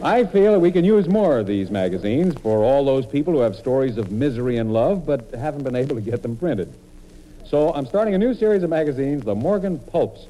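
Animated mock-earnest male radio comedian. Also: mid-range voice, fairly quick. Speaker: comedian and monologist. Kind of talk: scripted radio comedy narration. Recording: noisy old radio broadcast recording.

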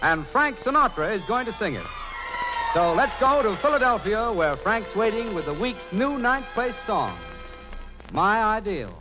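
A man's voice: sits high, sing-song, normal speed, poor audio. A man announcing in the style of a 1940s radio broadcast. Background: music.

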